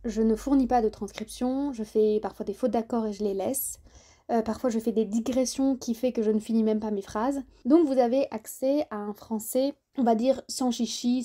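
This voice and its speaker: A woman's voice: on the high side, warm tone. A woman hosting a solo podcast, explaining things informally and speaking directly to the listener in a conversational, didactic way. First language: French. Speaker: podcast host and French tutor.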